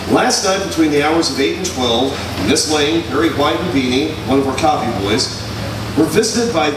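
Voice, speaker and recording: A man's voice: fairly high pitch, moderate pace, natural voice. A man playing a level-headed, skeptical newspaper reporter in a 1940s-style radio drama. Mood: matter-of-fact. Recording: echoey hall, distant mic, clear noise.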